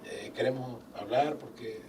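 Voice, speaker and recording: An older man, a business owner telling his life story as a guest in a casual interview. He speaks with animated, faith-filled phrasing and acts out quoted dialogue. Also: mid-range voice, measured pace. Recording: distant mic with faint background noise.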